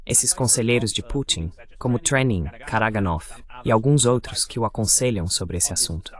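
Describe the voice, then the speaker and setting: A man's voice: on the low side, moderate pace. Man giving voiced-over political commentary. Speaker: translated interview guest.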